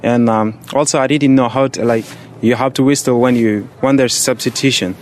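A man's voice: mid-range voice, steady tone, moderate pace, slight background noise. A seventeen-year-old young man from Egypt answering interview questions in a casual, plain-spoken and hesitant way. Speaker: student on a referee course, interviewee.

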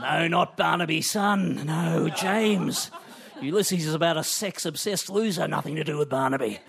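A man voicing a gruff male sports coach who barks orders at a boy. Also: a little high, moderate pace, a crowd in the background. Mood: barking. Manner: barking, drill-sergeant commands.

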